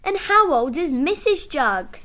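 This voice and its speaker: Storybook child, seven: high, swooping, wide-eyed and well-mannered little girl. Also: very animated, unhurried, pushed and bright, exaggerated voice. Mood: curious, polite. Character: polite curious seven-year-old little girl.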